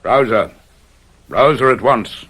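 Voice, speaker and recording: A man's voice: medium pitch, a bit bright. A man acting an imperious, melodramatic nobleman in an old-time radio drama. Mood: commanding, desperate. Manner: melodramatic, drawn-out cries. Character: imperious nobleman, commanding, tipping into horror. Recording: radio drama with clear music behind.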